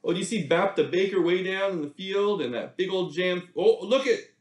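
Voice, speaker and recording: A man's voice: sits high, normal speed, reverberant, a little hiss or hum. A middle-aged American man reading a picture book aloud to children with theatrical expression and chatty asides in a small room. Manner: animated, theatrical, addresses young listeners directly. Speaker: children's read-aloud presenter.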